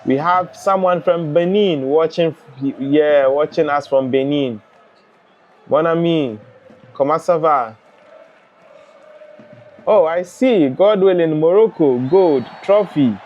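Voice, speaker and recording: A man's voice: fairly high pitch, slow pace, long pauses, lo-fi sound, slight background noise. Ghanaian man hosting a football watch-along. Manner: chatty, relaxed, reads viewer comments aloud. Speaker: live stream host. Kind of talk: live watch-along commentary reading chat.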